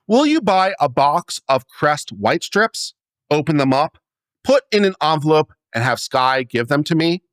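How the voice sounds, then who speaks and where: A man reading a letter aloud as a dramatic performance, in a theatrical, expressive manner. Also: fairly high pitch, measured pace, no echo. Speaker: reader of a letter.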